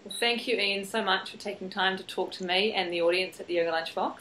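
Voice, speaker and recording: A woman's voice: medium pitch, fast talker, pushed and bright, a little hiss or hum, rough recording. A woman with a New Zealand accent hosting a podcast interview, friendly and encouraging. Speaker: podcast host. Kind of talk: interview questions.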